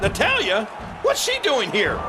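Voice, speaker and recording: An excitable, blunt man commentates on wrestling in a very high, animated voice. Loud music and a big crowd surround him. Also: a little slow, heavily acted. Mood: shocked. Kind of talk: sports commentary in a video game.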